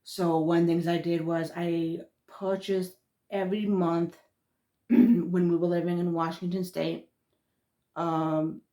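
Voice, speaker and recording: A woman with a thick Massachusetts accent talks in a somewhat low voice. She rambles casually as a spiritual vlogger, trailing off mid-thought and restarting words. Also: mellow.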